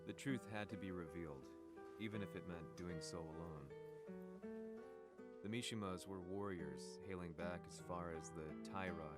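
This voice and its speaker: A man playing a grim, obsessed investigative journalist, narrating a video game story in a brooding, measured way. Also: low voice, lightly acted. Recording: loud music under voice-over.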